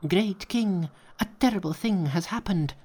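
A man's voice: high voice, measured pace, a little mellow, pitched above the performer's own voice. A man voicing a group of small, nervous monkeys and imps in a storybook reading. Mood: urgent. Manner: anxious, reporting.

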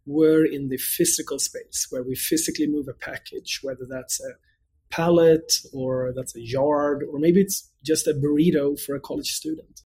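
Husky, mellow man with a Swedish accent. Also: fairly high pitch, normal speed, rough recording. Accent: Swedish, mixed international. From Sweden. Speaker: interview guest, company executive. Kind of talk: conversational interview answers.

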